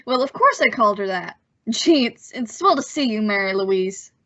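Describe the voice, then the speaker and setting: A woman's voice: a little high, lively intonation, normal speed, a bit bright, highs cut off. A woman playing a bashful, hesitant teenage boy in an old-time radio drama, stammering politely. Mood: awkwardly friendly. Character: bashful, evasive returned teenage boy, gushing gee-whiz politeness.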